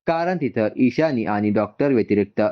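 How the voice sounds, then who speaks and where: Animated man narrating a story, slightly dull sound. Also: medium pitch, normal speed. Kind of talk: scripted story narration with dialogue.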